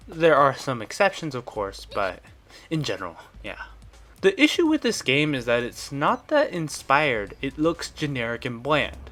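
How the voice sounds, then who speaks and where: A man with a mid-pitched voice narrates a scripted review. He explains things in a measured, orderly way and states his opinions plainly, as a video reviewer does. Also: pushed and bright.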